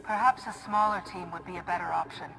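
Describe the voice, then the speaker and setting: Composed low-voiced Jedi hero. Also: female voice, fast talker, creaky, sharp tone, rough recording. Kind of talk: video game cutscene acting.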